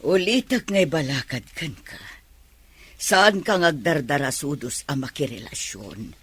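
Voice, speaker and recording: A woman playing a worried, cautioning mother with a husky voice in a radio drama. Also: sits low, animated, a little slow, fairly bright tone, full character voice. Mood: admonishing.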